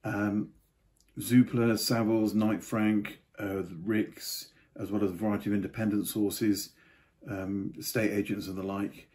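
A man's voice: on the low side, flat delivery, a little slow, darkish tone. An older man giving a casual market update to camera from a home study, with offhand asides. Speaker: property market commentator.

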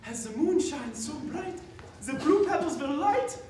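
Heard from far off in a very echoey theatre, this is a timid young boy played by a woman in a fairy-tale stage play. The voice is high and drawn out, theatrical and reassuring, swinging widely up and down. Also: lively intonation, a little slow, warm tone, slight background noise. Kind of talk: amateur stage acting. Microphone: far camera in the audience.

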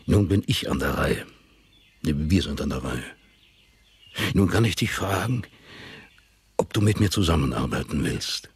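An actor playing a powerful, scheming old father in a German radio drama, husky and slow, with a commanding, insinuating manner. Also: extremely low pitch, expressive, full character voice. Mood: menacing, persuasive.

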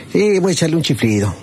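A wary rustic Mexican outlaw in an old radio serial. He grumbles in folksy, colourful country speech, with faint noise on the recording. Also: on the high side. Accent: rural Mexican. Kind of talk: old Spanish-language radio serial acting.

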